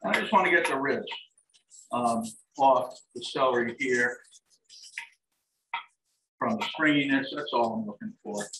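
A middle-aged chef giving a live cooking demonstration in a large home kitchen. He explains each step in a relaxed way, with plenty of "um" and "like I said". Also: mid-range voice, measured pace, gravelly, pauses often. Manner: relaxed, step-by-step instructions with frequent "um" and "like I said". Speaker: chef and dining services director. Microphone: fixed camera across the counter. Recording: echoey kitchen, voice from a distance, faint hiss. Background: turkey sizzling in a pan.

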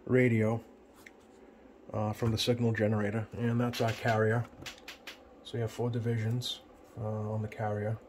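A man giving a casual hands-on tutorial as a repair technician, plain-spoken and often pausing mid-sentence. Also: mid-range voice. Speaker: CB radio repair technician presenting. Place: indoor electronics workbench. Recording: clear background noise, home workbench room.

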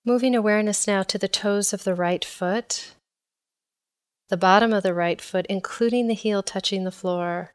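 Smooth, clear-voiced woman guiding meditation. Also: mid-range voice. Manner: calm, measured, instructional. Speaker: meditation guide. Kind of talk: guided body scan meditation, scripted.

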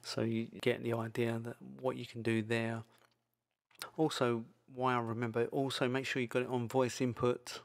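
Gravelly-voiced man giving a casual tutorial. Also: medium pitch, flat delivery, moderate pace. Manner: hesitant, informal, loosely improvised. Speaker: tutorial presenter. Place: indoors, screen-recording voice-over. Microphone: microphone into audio software.

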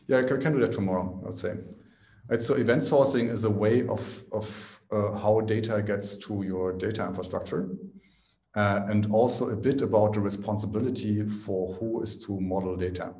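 A man's voice: lowish voice, steady tone, normal speed, mellow. A man in his forties speaking at a remote conference Q&A. He explains in a casual, technical way, often adds "right?", and restarts his sentences, talking into a headset at home. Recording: echoey room.

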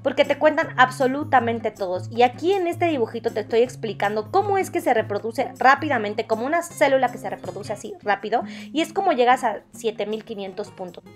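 High-voiced Mexican woman explaining enthusiastically over music. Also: expressive, brisk, hardly any pauses. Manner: enthusiastic, chatty, addresses the listener directly. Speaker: network marketing recruiter and presenter. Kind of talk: casual explainer voice-over for drawn whiteboard video.

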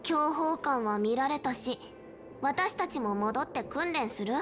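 A cool, competitive young swordswoman from a video game. She is high in pitch, terse and challenging, with faint noise behind her. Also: lively intonation, measured pace. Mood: competitive, suspicious.

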